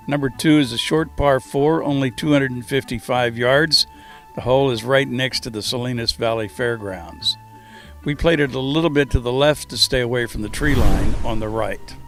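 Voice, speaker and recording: A man's voice: medium pitch, normal speed, fairly bright tone, faint music behind. A man giving a scripted tour narration in the role of host of a course review.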